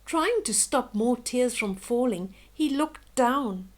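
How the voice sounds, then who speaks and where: Older woman, storyteller, higher-pitched voice, very slow pace, gentle, expressive, children's bedtime read-aloud. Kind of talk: bedtime story read-aloud with chatty asides to young listeners.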